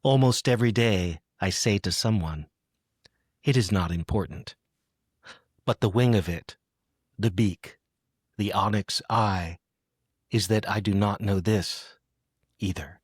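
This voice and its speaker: Low-voiced male poetry podcast host, close and dry. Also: unhurried, pauses often, husky, no room sound. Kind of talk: conversational poetry interview with read-aloud poems.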